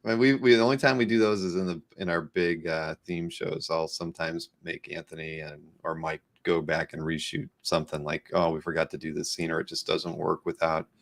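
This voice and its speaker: A man's voice: sits low, little pitch movement, moderate pace. A man hosting a casual interview in a rambling, conversational way. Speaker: host of a comic art live show.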